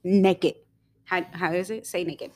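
A young woman co-hosting a casual podcast, talking in a rambling way with many fillers and quick giggles. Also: fairly low pitch, steady tone, darkish tone. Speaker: podcast co-host.

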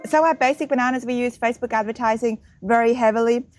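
A woman's voice: a little high, fairly even intonation, fast, a little mellow, no echo, soft background music. A woman in her thirties, originally from Switzerland, explaining her marketing business in an upbeat, easygoing podcast interview. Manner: upbeat, explanatory, laughs easily. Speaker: guest, marketing business co-founder.

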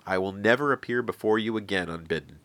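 A man's voice: fairly low pitch, fast, a bit bright, dry recording, close to an everyday voice. A man voicing a stern, earnest prophet who pleads with emphasis. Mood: solemn.

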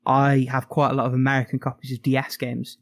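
A man's voice: mid-range voice, completely flat delivery, quick pace, no room sound, studio quality. A man from the UK with a British accent, chatting casually as a podcast co-host. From United Kingdom. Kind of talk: casual chat.

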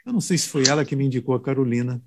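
A man who does voice-over work, telling stories from his career in a relaxed way during a chat with colleagues. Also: mid-range voice, rapid-fire, noisy background. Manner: relaxed, reminiscing anecdotal storytelling. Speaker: voice-over announcer. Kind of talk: casual chat among broadcasters.

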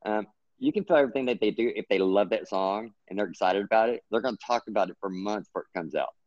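Texan guy low voice rambling on earnestly in an interview, dry muffled sound. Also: quick pace, no room sound. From Texas. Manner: earnest, rambling, gets excited and pitches up. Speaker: interview guest, writer. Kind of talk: casual interview chat.

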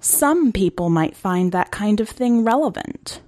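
A woman's voice: medium pitch, lively intonation, unhurried, a little mellow, pitched above the performer's own voice, lightly acted. A woman voicing an anxious young werewolf girl in a story reading, with a light, youthful, earnest voice. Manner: earnest, unsure.

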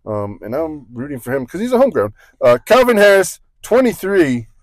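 A man's voice: a little high, very animated, a little fast, no echo. A man co-hosting a casual sports podcast, rambling through points with joking asides and frequent self-corrections. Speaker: podcast co-host.

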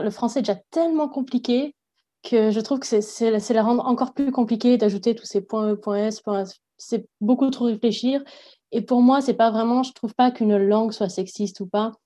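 Woman, young adult, from France, warm low tone, reflective, frank, hedging, casual interview. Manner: frank, reflective, thinks aloud with many hedges. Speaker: interviewee, teacher of French as a foreign language.

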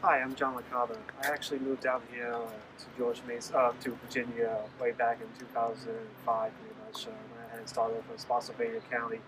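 A man's voice: mid-range voice, a little fast, hardly any pauses, recorded from a distance, noisy background. A man in his thirties, a graduating engineering student, rambles through his background with many uhs.

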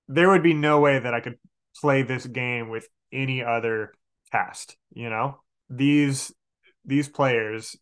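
Male podcast guest, game master, emphatic casual talk, medium-pitched voice. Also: fairly bright tone, clear, resonant tone.

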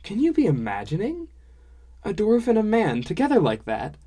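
A man's voice: sits high, wildly swooping pitch, moderate pace, dark tone, voice pushed up, full character voice. A man voicing a kindly, frank, easily amused dwarf woman with quaint foreign-style phrasing in an audiobook. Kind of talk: audiobook character dialogue.

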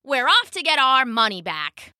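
A woman's voice: sits high, sing-song, moderate pace, pushed and bright, no echo, clean studio sound, pitched above the performer's own voice, exaggerated voice. A woman voicing a brave little male duck in a storybook, earnest and chanting his quacks.

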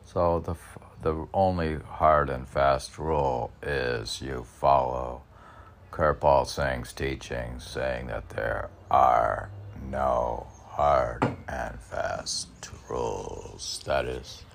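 A man rambling in a halting, musing way as a poet, asking himself questions and answering them, in an amateur recording. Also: very deep voice, creaky. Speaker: poet reading and discussing his own work. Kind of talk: rambling monologue of self-posed questions and answers.

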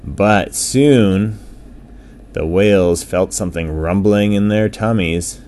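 Deep, slow, mellow male bedtime storyteller. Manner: simple, repetitive phrasing for a child. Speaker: children's storyteller.

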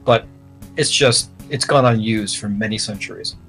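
A man's voice: fairly low pitch, moderate pace, gravelly, fairly bright tone, low-quality recording. A man narrating a tabletop game as game master, improvising in a matter-of-fact, explanatory way. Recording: music playing under the voice. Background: plucked guitar music.

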